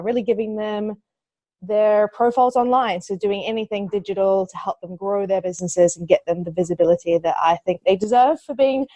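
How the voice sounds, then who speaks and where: A woman in her thirties speaks with a New Zealand accent, earnest and thinking aloud, with plenty of ums. Her pitch sits mid-range for a woman. The sound is close and dry, with no room around it. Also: mellow, no echo. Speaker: guest, digital marketing agency owner. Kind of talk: podcast interview.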